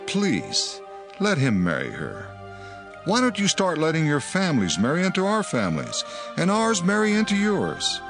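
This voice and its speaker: Persuasive elder father and chieftain, high, hugely animated delivery, scripture drama. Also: normal speed, music in the background. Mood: pleading, persuasive. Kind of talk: dramatized audio Bible acting.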